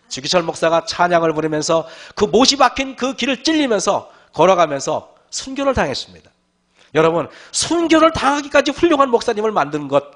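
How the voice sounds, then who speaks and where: A male pastor giving a sermon, with a hoarse voice and frequent throat clearing, often calling out to the congregation and asking rhetorical questions. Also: high voice, fairly bright tone.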